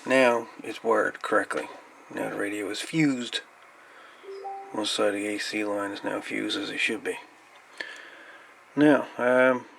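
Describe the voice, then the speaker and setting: A man's voice: fairly low pitch, gravelly. A man explaining a hands-on repair casually and matter-of-factly, off the cuff, at an indoor workbench. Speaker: hobbyist radio repairer, presenter. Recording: noisy amateur camera recording.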